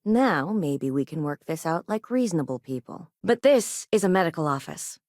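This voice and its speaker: An actress playing a principled, caring but firm frontier doctor in a science-fiction video game, speaking in a wry, matter-of-fact way. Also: female voice, fairly low pitch, expressive, normal speed, darkish tone, no room sound, studio quality, lightly acted. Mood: hesitant, negotiating. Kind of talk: naturalistic video game dialogue acting.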